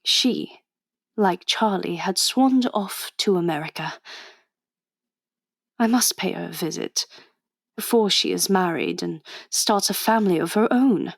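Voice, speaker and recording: A woman's voice: lowish voice, a little slow, vocal fry, long pauses, no echo, studio quality. A woman playing a witty, stubborn Edwardian undercover agent in a radio drama, British accent, with formal, chatty period phrasing. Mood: confiding, reflective. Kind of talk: radio drama acting and first-person narration.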